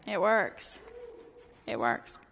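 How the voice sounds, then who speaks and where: A woman in her thirties, a priest, giving a casual, joking sermon with a hoarse, fading voice, chatty and self-deprecating with many filler words. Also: lowish voice, big pitch swings, drawn-out words, fairly bright tone, slight background noise. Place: church sanctuary. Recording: long church reverb.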